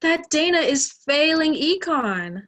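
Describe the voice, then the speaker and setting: A young woman in an amateur stage play, acting a bossy high school bully with exaggerated mock sympathy. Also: very animated, takes it slowly, fairly bright tone, full character voice. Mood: gloating. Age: young adult. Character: snide, bossy high school mean girl.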